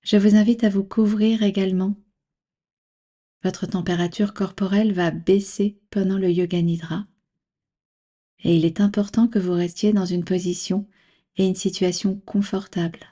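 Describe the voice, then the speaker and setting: A woman guiding a relaxation practice as a meditation instructor, speaking calmly and soothingly in measured instructions with long pauses. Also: mid-range voice. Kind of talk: guided yoga nidra relaxation.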